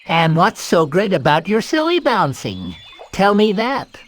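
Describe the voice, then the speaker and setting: A man's voice: sits high, wildly swooping pitch, measured pace, pitched above the performer's own voice, heavily acted. A man voicing a fussy, bossy, irritable rabbit in a children's storybook, prissy and emphatic. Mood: irritated. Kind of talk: children's storybook read-aloud.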